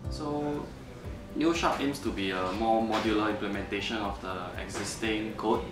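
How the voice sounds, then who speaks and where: A man, a software engineer, speaking hesitantly with many fillers. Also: lowish voice, moderate pace. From Singapore. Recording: roomy, distant mic. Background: background music.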